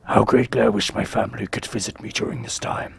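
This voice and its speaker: Lonely last human, bitter, low gravelly whisper. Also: male voice, fairly even intonation, fast, exaggerated voice. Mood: weary. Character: grieving last human man, cold and bitter.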